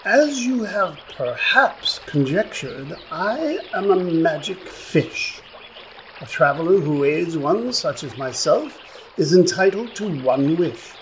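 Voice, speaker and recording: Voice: mid-range voice, lively intonation, slow, a little hiss or hum, poor audio. An actor in a radio play voicing a pompous, wordy magic fish who speaks in florid, old-fashioned phrases. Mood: pompous, brisk. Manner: pompous, florid archaic diction.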